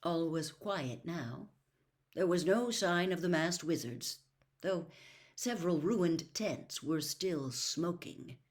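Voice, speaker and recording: A woman reading a storybook aloud as an expressive narrator of scripted fiction. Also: lowish voice, slow pace. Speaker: audiobook narrator.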